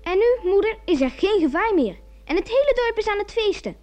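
A woman's voice: very high voice, very animated, brisk, a little mellow, faint music behind. A female performer playing a cheeky, imaginative little village girl in a radio comedy, with a pert, childlike delivery. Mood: contented.

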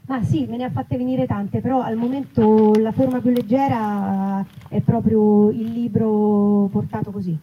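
A woman's voice: fairly high pitch, flat delivery, a little slow, hardly any pauses. A woman speaking conversationally and reflectively in an interview, building her thoughts in short phrases. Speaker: writer and actress, interviewee. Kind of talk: casual spoken interview before an audience. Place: live festival talk in an olive grove. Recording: muffled, noisy live event recording.

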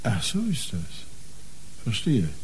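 Rich crooked director: arrogant, sneering, husky, very animated pitch. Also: male voice, slow, heavily acted, low-quality recording. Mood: bored, dismissive. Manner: haughty, sneering. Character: arrogant, wealthy male company director facing arrest. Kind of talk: radio drama acting.

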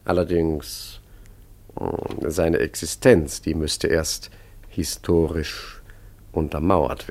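A man playing a suave, self-assured forger in a radio play, smooth-talking and theatrical as he narrates and schemes. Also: sits low, darkish tone. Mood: sly, confiding. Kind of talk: radio play acting with first-person narration.

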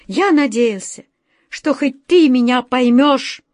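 A woman's voice: a little high, a little slow, darkish tone, dry recording, clean studio sound, voice pushed up. Woman playing a panicky flustered director. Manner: flustered, stammering, pleading. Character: nervous office director, adult man, panicky.

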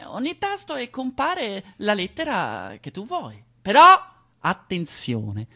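A fussy, bossy relative with a lowish, husky voice that swoops up and down. The theatrical radio delivery stammers, restarts, coaxes and scolds. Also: female voice, full character voice. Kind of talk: theatrical radio dialogue acting.

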